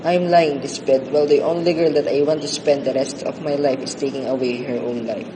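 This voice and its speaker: A man's voice: fairly even intonation, fairly quick, lightly acted. A man playing a grief-stricken young man in love, narrating from a hospital bed in a radio drama. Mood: grieving, regretful. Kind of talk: scripted first-person radio drama monologue. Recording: clear background rumble and noise.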